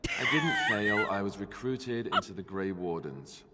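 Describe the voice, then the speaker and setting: A wry young warrior in a fantasy game. The voice is deep with a long reverb, and the delivery is sarcastic and self-mocking. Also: male voice, expressive, a little slow, very noisy. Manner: sarcastic, joking. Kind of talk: fantasy video game acting.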